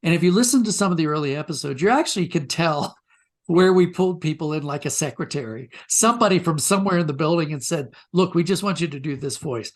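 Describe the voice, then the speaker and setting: A man's voice: on the high side, lively intonation, quick pace. An older man with an American accent telling anecdotes conversationally as an interview guest, reflective and self-deprecating.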